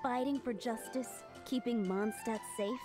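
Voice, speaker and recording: A woman's voice: a little high, measured pace, over music, close to an everyday voice. An actress playing a playful, teasing female tavern boss in a video game. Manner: teasing, leisurely delivery.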